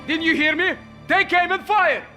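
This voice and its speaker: High-pitched, hot-headed Soviet captain. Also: male voice, normal speed, bright, forceful tone, soft background music, exaggerated voice. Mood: furious. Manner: insistent, defiant, heated. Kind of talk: dramatic video game acting.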